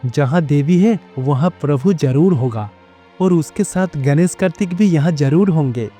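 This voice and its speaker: A man's voice: on the high side, unhurried, warm tone, slightly rough, faint music behind. A man playing a devoted, earnest bull attendant of the gods in a storybook tale. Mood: wonder, relief. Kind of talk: storybook character dialogue.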